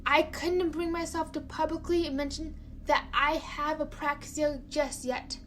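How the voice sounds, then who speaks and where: A young woman from China narrating a personal story, careful and halting, often repeating words. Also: sits high, steady tone, slight background noise, low-quality recording.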